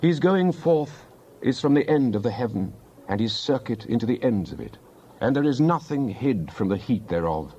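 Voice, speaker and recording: Male scripture reader, mid-pitched, solemn and declamatory, on slightly muffled audio. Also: sing-song, normal speed, warm tone, husky. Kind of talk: dramatized Bible reading.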